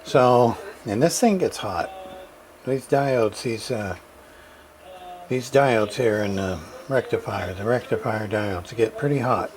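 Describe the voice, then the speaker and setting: A man giving a casual, halting walkthrough of a radio repair, full of fillers and restarts. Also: lowish voice, slight background noise.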